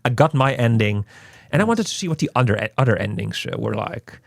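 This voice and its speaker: A man's voice: mid-range voice, animated, a little fast, gravelly, no echo. Chatty, rambling man hosting a game review. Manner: chatty and rambling, repeats words and phrases. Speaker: host of a game review channel. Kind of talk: casual unscripted video game review.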